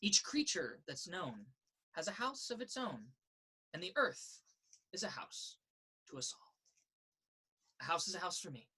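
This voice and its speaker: A woman reads a children's picture book aloud. Her pitch moves in a lively, expressive way through a sing-song rhythm. The voice has a husky, slightly rough edge. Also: measured pace, long pauses, rough recording. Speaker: story reader. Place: indoors in a children's library.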